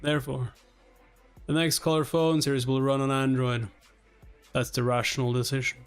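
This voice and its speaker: A man reading aloud the part of a commanding, coolly decisive male executive in a webtoon, formal and measured. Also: medium pitch, level tone, clear, resonant tone, soft background music, close to an everyday voice. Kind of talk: dramatic read-aloud of webtoon dialogue.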